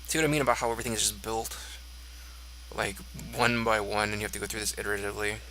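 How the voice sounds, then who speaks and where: A man's voice: fairly low pitch, steady tone, fast talker, pushed and bright, a little hiss or hum, rough recording. A congested man thinking aloud as he walks through code on screen, musing and offhand. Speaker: code reviewer. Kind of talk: screen-recorded code walkthrough.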